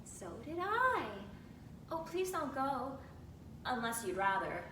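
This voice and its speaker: A woman's voice: very animated, takes it slowly, heavily acted. A woman voicing a blunt, eager, awkward but friendly teenage tomboy girl in a dramatic reading of a classic novel. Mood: flustered, polite. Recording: roomy, distant mic with rumbling noise.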